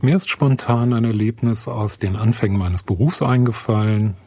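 Fifty-something therapist guy, husky warm low voice, telling a story. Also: normal speed, no echo. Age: 50s. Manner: thoughtful, storytelling. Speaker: psychologist and psychotherapist. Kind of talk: interview recollection.

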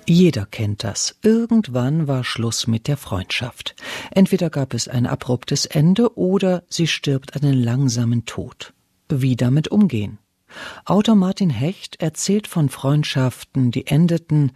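A man with a husky voice reading a scripted introduction as a radio announcer. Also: medium pitch, animated, unhurried, warm tone, dry recording, studio quality.

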